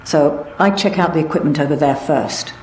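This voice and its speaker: Low-voiced, composed woman intelligence officer, acted. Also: moderate pace, darkish tone, roomy sound, slight background noise, close to an everyday voice, low-quality recording. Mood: measured, focused. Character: composed, analytical female intelligence officer in a sci-fi resistance. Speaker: mission-giving game character. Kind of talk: scripted game dialogue.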